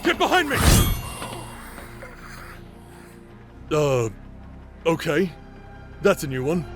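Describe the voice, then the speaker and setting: Man acting a high-pitched, hot-headed adventurer. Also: wildly swooping pitch, a little slow. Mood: agitated. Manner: brash, impatient, sarcastic. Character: hot-headed, foul-mouthed, protective male sci-fi adventurer. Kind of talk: anime-style audio roleplay acting. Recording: loud music behind the voice.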